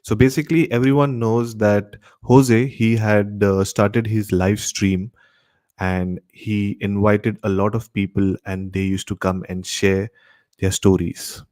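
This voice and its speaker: A man's voice: on the low side, flat delivery, a little slow, a little mellow. A man with an Indian accent hosting a horror radio show, telling stories in a dramatic narrating style. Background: music.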